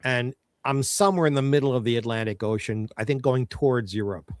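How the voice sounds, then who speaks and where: A man's voice: medium pitch, fairly quick, no room sound, studio quality. A man co-hosting a talk show, telling stories with showbiz flair and asides to the audience. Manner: theatrical storyteller, showbiz flair. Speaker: co-host. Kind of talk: casual chat.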